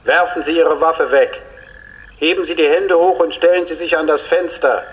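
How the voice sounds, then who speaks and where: An actor playing a stern police officer calling out formal, commanding surrender orders in a radio crime drama. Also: male voice, a little high, steady tone, moderate pace, natural voice. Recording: long echo, outdoor hail, hissy.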